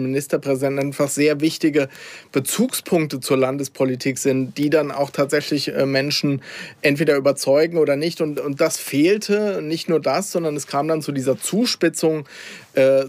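A man giving measured political analysis on a radio talk show, with occasional filler sounds. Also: fairly high pitch, a little mellow, no room sound.

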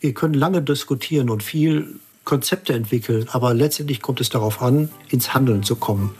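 A man giving a radio interview as a church environmental activist, explaining in a measured, earnest way. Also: mid-range voice, darkish tone, faint music behind.

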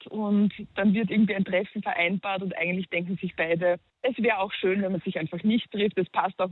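A young woman from Upper Austria with an Upper Austrian accent, a musician talking in a phone interview, pausing thoughtfully to find her words. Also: medium pitch, husky, dry recording. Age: young adult. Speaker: musician guest. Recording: dry tinny phone line.

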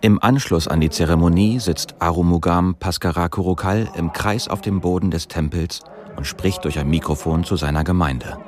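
Low-voiced man narrating a radio feature. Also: moderate pace. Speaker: documentary narrator.

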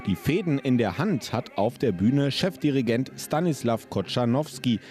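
A male reporter reads a scripted radio feature in a smooth, steady manner. His voice is mellow and warm. Soft music plays faintly behind him. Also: medium pitch, normal speed. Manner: smooth, read-out narration.

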